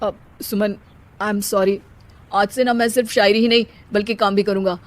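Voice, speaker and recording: A woman's voice: mid-range voice, steady tone, normal speed, voice pushed down, lightly acted. A woman voicing an idle, cocky young husband who declaims theatrically in rhymed couplets. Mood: contrite. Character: idle, cocky young husband obsessed with silly rhyming poetry.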